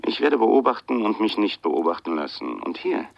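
An actor playing a secretive anonymous caller in hiding in a radio crime drama, measured, knowing and confiding, in with a gravelly voice. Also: male voice, fairly low pitch, very animated, dry recording, exaggerated voice. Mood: calm, conspiratorial. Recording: dull, dry, band-limited telephone-style radio recording.

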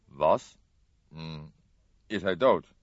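Man playing a calm detective, dry muffled recording. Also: deep voice, no room sound, full character voice. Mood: calm inquiry. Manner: measured, unruffled questioning. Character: calm, amused veteran male police detective. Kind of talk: audiobook character acting. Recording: slightly dull, dry studio recording.

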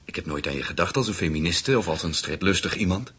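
A man's voice: deep voice, animated, fast, sharp tone, slightly rough, full character voice. An actor in a Dutch radio play voicing an earnest, courtly, ambitious businessman with political aims. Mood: solicitous.

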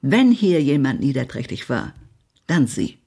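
An actress playing a spiteful, haughty small-town society gossip in a German radio play, blunt and imperious. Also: female voice, extremely low pitch, sing-song, a little slow, gravelly, warm tone, husky, full character voice. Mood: indignant, venomous. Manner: blunt, acid, imperious, swearing.

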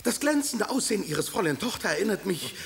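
An actor playing a flattering court adviser who speaks in an obsequious, ingratiating way. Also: male voice, sits high, fast, vocal fry, slight background noise, heavily acted. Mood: fawning. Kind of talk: radio drama acting.

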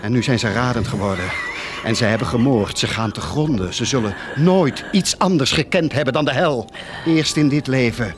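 An actor playing a tormented, guilt-ridden priest of the poor in a theatrical radio drama, repeating words and swinging between muttering and outcries. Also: male voice, medium pitch, normal speed, noisy background, natural voice. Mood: despairing. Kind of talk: theatrical radio drama acting, anguished monologue.

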